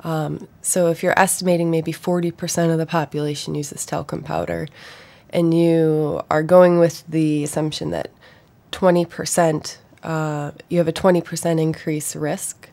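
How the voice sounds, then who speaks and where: A woman's voice: deep voice, little pitch movement, normal speed, gravelly, a little mellow. A woman physician guest on a radio interview, speaking cautiously, qualifying her claims and using many fillers.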